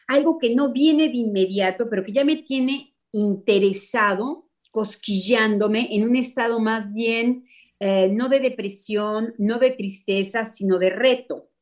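Hesitant, thoughtful woman with a higher voice. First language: not Spanish. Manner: hesitant, many fillers, slow thoughtful pauses. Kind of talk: reflective spoken explanation.

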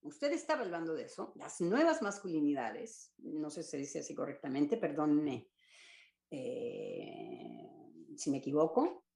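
A woman's voice: low-pitched, huge pitch swings, measured pace, vocal fry, darkish tone, roomy sound. An older woman with a Mexican accent speaking as an academic guest on a discussion panel, rambling and searching for words with many restarts.

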